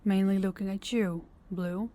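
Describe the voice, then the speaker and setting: A woman's voice: mid-range voice, steady tone, unhurried, dark tone, close to an everyday voice. A woman playing a composed, commanding crime boss in a scripted audio drama, businesslike and authoritative. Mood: businesslike, firm. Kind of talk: scripted audio roleplay acting.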